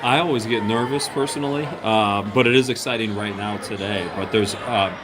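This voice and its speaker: Low-voiced American coach, very noisy recording. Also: male voice, normal speed. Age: middle-aged or older. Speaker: veteran high school basketball coach. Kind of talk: candid pregame interview. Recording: very noisy on-site interview.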